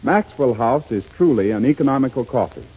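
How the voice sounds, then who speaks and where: A man's voice: mid-range voice, animated, a little fast, breathy edge. A man announcing a 1930s radio commercial with a persuasive ad-read delivery. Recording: dull 1930s radio broadcast recording.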